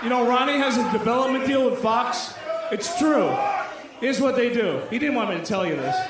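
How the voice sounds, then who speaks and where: A man doing loud, combative, profane live stand-up, snapping back at hecklers. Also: very high voice, expressive, a little fast. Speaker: stand-up comic performing at a live show. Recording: echoey live venue, distant mic, noisy crowd. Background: loud crowd noise and laughter.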